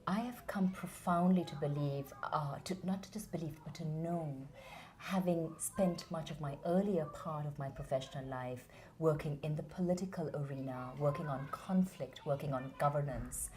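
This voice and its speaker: Earnest woman, low warm voice. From India. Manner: earnest, measured, passionate. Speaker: interview guest, founder of a theatre academy. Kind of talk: reflective interview answers.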